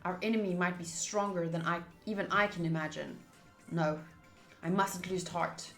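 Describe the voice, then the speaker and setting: A woman's voice: lowish voice, fairly even intonation, normal speed, fairly bright tone. A young woman game streamer reading game dialogue aloud and adding playful, self-mocking casual commentary. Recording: roomy sound over game music. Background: faint game music.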